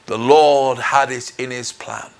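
Slow-paced middle-aged male preacher. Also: mid-range voice, steady tone, creaky. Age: middle-aged or older. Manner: measured, earnest, deliberate phrasing. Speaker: minister. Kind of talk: personal testimony sermon. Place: indoors in front of a dark curtain. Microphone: lapel mic.

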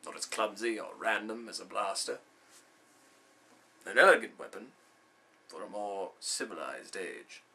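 A man performing a film monologue as an old, wise Jedi mentor, grave and wistful, with a measured storytelling manner. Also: lowish voice, animated, gravelly, sharp tone, slight background noise, voice pushed down, heavily acted, poor audio. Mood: wistful, instructive.

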